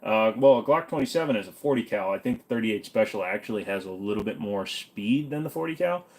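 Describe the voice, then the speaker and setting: A man's voice: on the low side, expressive, fast talker. A man hosting a casual livestream Q&A, answering viewer questions in an offhand, blunt, joking way with some swearing.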